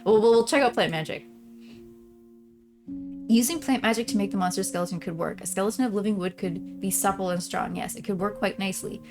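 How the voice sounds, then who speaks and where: A woman's voice: medium pitch, a little fast, over music. A woman streamer playing a visual novel, switching between reading narration aloud and casual, informal commentary. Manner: chatty, informal, often trailing off mid-thought. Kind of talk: casual let's-play commentary mixed with read-aloud narration.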